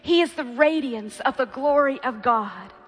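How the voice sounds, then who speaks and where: A woman's voice: high-pitched, a little slow, a bit bright, slightly rough, in a large hall, soft background music. Earnest woman teaching from scripture. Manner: earnest, reads scripture aloud. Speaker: Bible teacher. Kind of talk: sermon-style teaching.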